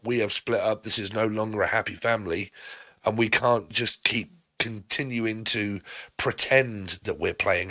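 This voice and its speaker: A British man with a hoarse voice from a lingering cough, giving a casual, rambling tarot reading and acting out both sides of imagined conversations. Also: on the low side, bright, forceful tone, no echo. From Britain. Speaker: tarot reader.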